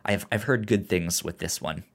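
A man hosting a podcast in casual chat, speaking haltingly and often repeating the starts of words. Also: sits low, fairly bright tone. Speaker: podcast host.

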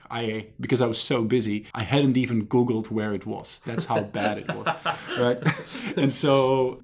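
A man in his thirties from Belgium, a professor telling his career story in a relaxed interview in his office. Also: medium pitch. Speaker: guest, professor. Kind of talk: casual interview talk. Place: university office.

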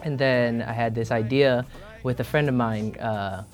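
A young man who leads a band answers interview questions, searching for his words with plenty of fillers. His voice sits at a middle pitch, and faint music plays under him. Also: steady tone, a little slow. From born in Singapore, raised in Minnesota. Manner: hesitant, many fillers and restarts. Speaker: band leader, guest. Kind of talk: casual interview.

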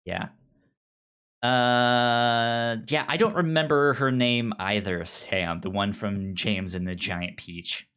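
A man who stutters on syllables and sounds, talking casually and haltingly as a podcast co-host explaining pop culture. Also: medium pitch, a bit bright, clear, resonant tone.

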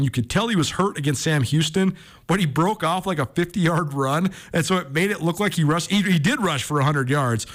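A man's voice: fairly high pitch, fairly bright tone. A man speaking as a sports radio host, giving informal, enthusiastic commentary in a conversational style.

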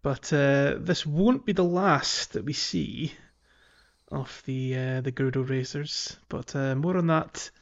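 A man co-hosting a gaming podcast, chatting casually and haltingly as he gathers his thoughts. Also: mid-range voice, gravelly, muffled recording. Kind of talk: casual chat about a video game.

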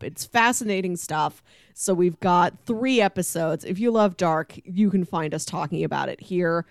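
A woman's voice: mid-range voice, no echo. Woman hosting a podcast, dry close sound. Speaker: podcast host.

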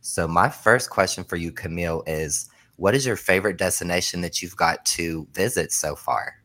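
Low-voiced guy hosting a chill podcast, friendly and upbeat, laughs easy. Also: normal speed, bright, forceful tone. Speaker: podcast host. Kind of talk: casual podcast interview.

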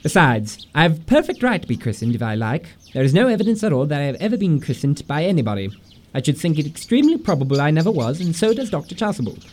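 An actor playing an exasperated, earnest young English gentleman in a comic radio play, speaking in a huffy, emphatic, mannered way. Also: a little high, very animated, fast talker. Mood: stubborn, argumentative.

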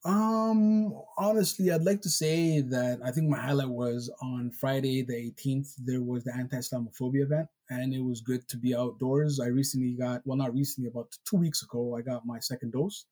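Mellow husky man, reflective. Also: mid-range voice, normal speed, hardly any pauses. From Somalia. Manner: reflective, hesitant with fillers. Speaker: political candidate. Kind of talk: casual chat.

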